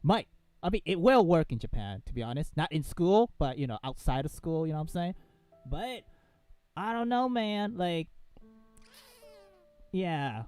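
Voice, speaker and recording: A man's voice: a little high, very animated, measured pace, long pauses, darkish tone, clear, resonant tone, no echo, soft background music. A man co-hosting a game playthrough, chatting and reacting in a slangy, casual way.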